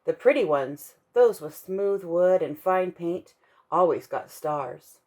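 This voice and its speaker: A woman reading a children's bedtime story aloud for a church kids' ministry, expressive and slowing down to stress key moments. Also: fairly low pitch.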